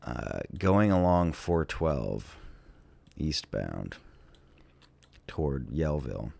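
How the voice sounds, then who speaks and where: A sick man with a cold thinks aloud in a casual, hesitant, musing way, with many ums and trailing pauses, as he narrates a vlog. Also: a bass voice, big pitch swings, creaky, dark tone, no room sound. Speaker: vlogger touring tornado damage on a map.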